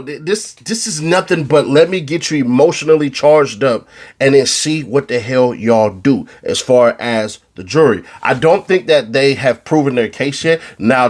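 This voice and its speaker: A man from California giving casual, animated commentary on a trial, slangy and freely swearing. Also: medium pitch. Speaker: online commentator.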